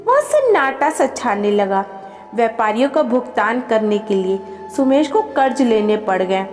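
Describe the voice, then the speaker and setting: A woman's voice: fairly high pitch, darkish tone, long reverb tail. A woman narrating a scripted family story as a storyteller.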